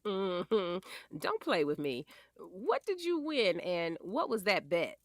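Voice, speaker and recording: A woman's voice: fairly low pitch, wildly swooping pitch, slow pace, no room sound, studio quality, full character voice. A woman playing a doting but firm wife and mother in her forties, teasing and sassy, in a naturalistic audio drama. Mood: playfully sassy.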